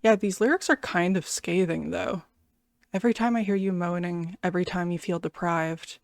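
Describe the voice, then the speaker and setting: A woman giving a casual, thoughtful music reaction and review, feeling her way through her impressions with a hesitant delivery. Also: fairly low pitch.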